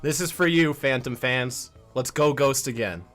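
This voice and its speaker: A man's voice: medium pitch, normal speed, fairly bright tone, soft background music. A man co-hosting a livestream with casual, jokey, exclamatory banter. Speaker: livestream co-host.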